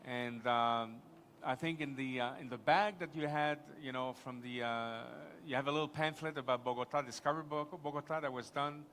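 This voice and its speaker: A man's voice: medium pitch, normal speed, hardly any pauses, a bit bright, slight background noise. A man from Quebec with a French-Canadian accent, a native French speaker, speaking as a panelist at a live conference and rambling enthusiastically with many restarts.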